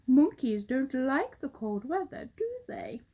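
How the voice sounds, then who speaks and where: Woman voicing a high, reassuring storybook bird. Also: very animated, slow, dark tone, muffled recording, full character voice. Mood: reassuring, chatty. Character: cheerful, reassuring roly-poly bird, a helpful storybook character.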